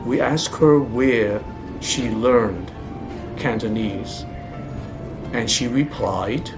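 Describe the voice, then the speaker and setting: A man from the United States giving a measured, informative travel narration as a voice-over. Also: mid-range voice, rough recording. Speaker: travel vlogger. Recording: narration over clear background music.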